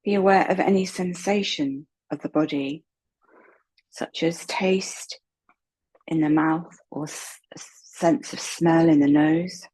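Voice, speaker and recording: A woman with a low-pitched, husky voice guides a relaxation session. She speaks softly and gently, leaving long pauses between her calm instructions. Her tone is breathy and never hurried. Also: rough recording. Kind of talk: slow guided meditation, soothing instruction.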